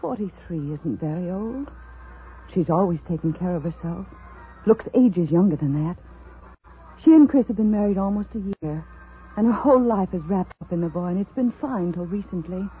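Casting: clinging, anxious middle-aged wife, 1950s radio thriller. Intense, dramatic delivery, thin phone-like vintage sound. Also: female voice, fairly low pitch, animated, moderate pace, slightly rough, faint music behind. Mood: tense, wistful. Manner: dramatic, intense delivery, swinging from hushed narration to pleading. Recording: tinny, noisy 1950s radio recording.

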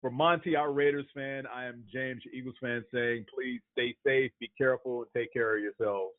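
A man's voice: mid-range voice, fairly even intonation, normal speed, dry recording. Tinny-sounding male sports podcaster. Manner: talks through injury and ranking news. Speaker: podcast co-host. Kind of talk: casual sports podcast chat. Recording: tinny phone-like dry sound.

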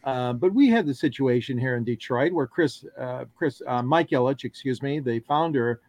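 A man's voice: mid-range voice, expressive, moderate pace, a little mellow. A man on a sports talk panel who rambles with many fillers and corrects himself as he goes.

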